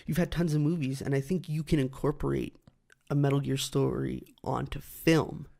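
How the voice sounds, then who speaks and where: A man with a creaky, fry-laden voice at a middling pitch talks casually as a podcast co-host. He presses his opinions on films and games in an insistent, argumentative way. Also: little pitch movement, normal speed, a little mellow. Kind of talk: casual film and gaming debate.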